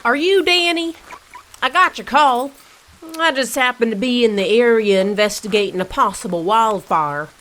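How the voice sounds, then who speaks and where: A woman's voice: a little high, normal speed, a bit bright, close to an everyday voice. A woman playing a baffled but helpful emergency chief in an audio drama.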